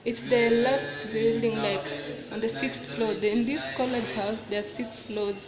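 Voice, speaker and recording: A young woman chats as she walks through her college, filming on a phone held in her hand. Her voice sits a bit high, and she keeps turning to her viewers with "guys" between her remarks. Loud student chatter fills the space behind her. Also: steady tone, measured pace. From Kenya. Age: young adult. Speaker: student vlogger. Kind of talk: casual walking tour narration. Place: college corridors and classrooms, indoors. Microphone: handheld phone camera. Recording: phone recording with loud crowd noise. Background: noisy crowd of students.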